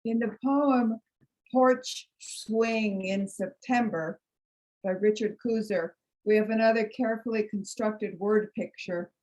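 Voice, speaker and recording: Slow, deliberate woman reading poetry. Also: mid-range voice, steady tone, a little mellow, low-quality recording. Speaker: poetry reader at a congregation service. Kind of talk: introducing and reciting a poem.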